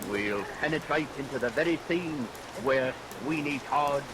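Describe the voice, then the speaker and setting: Man to play salty Cockney guide: grisly showman's spiel, medium pitch, old radio recording under rain noise. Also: normal speed, close to an everyday voice. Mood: ominous relish. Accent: London Cockney. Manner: theatrical showman's patter with emphatic tags. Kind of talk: vintage radio drama acting.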